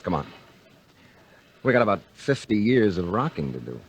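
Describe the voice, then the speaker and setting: Gruff adventurer with swooping delivery. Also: male voice, fairly low pitch, sing-song, normal speed. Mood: tender relief. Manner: gruff, commanding, clipped questioning. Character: tough adventurous skin diver husband, suspicious and forceful. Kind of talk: 1950s radio adventure acting. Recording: noisy vintage 1950s radio drama.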